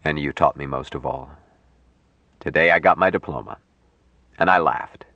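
A man voicing an earnest, tender young man raised apart from humans, speaking slowly and formally in an audiobook story. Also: extremely low pitch, no room sound, muffled recording, pitched below the performer's own voice. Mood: earnest, reflective. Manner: slow, deliberate, formal phrasing.

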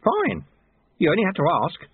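A man's voice: on the high side, sing-song, moderate pace, husky, no room sound, phone-quality sound, voice pushed up. A man voicing a sly, cheerful old monk and martial-arts master who talks in a cheeky, colloquial, teasing way, in an audiobook. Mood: gleefully teasing.

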